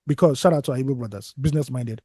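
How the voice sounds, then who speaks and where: A man's voice: mid-range voice, very fast, dark tone, breathy edge, no room sound, studio quality. A man, co-hosting a casual podcast, rambling and restarting, often trailing off to a mutter. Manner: discursive, restarts, drops to a mutter at phrase ends. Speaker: podcast co-host.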